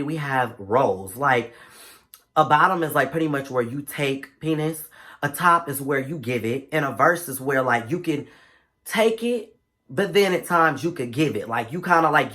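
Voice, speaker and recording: A young man with a cold tells a story straight to his viewers. His voice is stuffy and congested. He talks in a lively, slangy, playful way. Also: fairly high pitch. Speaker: vlogger. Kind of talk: casual storytime vlog.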